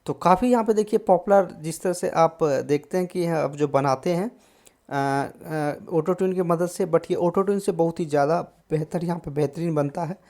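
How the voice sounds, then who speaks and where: Man giving a casual software tutorial. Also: on the high side, moderate pace. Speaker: host of a music software tutorial channel. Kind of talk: casual screen-recorded tutorial.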